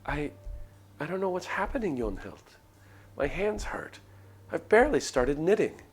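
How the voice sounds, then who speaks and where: A man's voice: on the high side, big pitch swings, measured pace, slightly rough, pitched above the performer's own voice, heavily acted. A man voicing an anxious, polite young villager in their twenties from a visual novel, hesitant and earnest. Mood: distressed. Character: anxious twenty-two-year-old villager, polite and troubled. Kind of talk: visual novel character read aloud.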